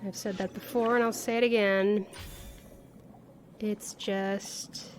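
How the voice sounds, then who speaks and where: A woman's voice: mid-range voice, fairly even intonation, takes it slowly. A woman giving casual livestream commentary on a video game, thinking aloud in a playful, exclaiming manner. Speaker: game streamer. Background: game music.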